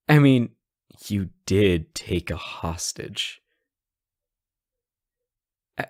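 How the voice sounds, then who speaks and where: A man's voice: on the low side, vocal fry, darkish tone, no room sound, studio quality, full character voice. A man acting a sarcastic, teasing hostage who taunts a supervillain in a conversational, theatrical roleplay audio drama.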